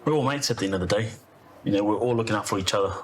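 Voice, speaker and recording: A man's voice: on the low side, very fast, noisy background. A Scottish man speaking bluntly and matter-of-factly in a campaign video.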